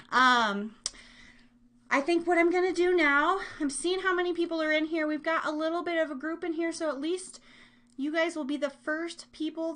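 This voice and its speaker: A woman's voice: high voice, a bit bright. A woman hosting a casual live online show, chatting in a playful, rambling way and teasing herself.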